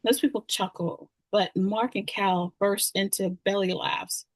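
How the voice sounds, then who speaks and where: A woman's voice: mid-range voice, fairly even intonation, unhurried, no echo. A woman reading stage directions as scripted narration.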